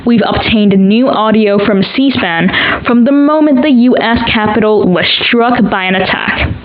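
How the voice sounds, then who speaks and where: An actress playing a grave, formal news anchor covering breaking news in an audio drama. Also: female voice, mid-range voice, measured pace, muffled recording, close to an everyday voice.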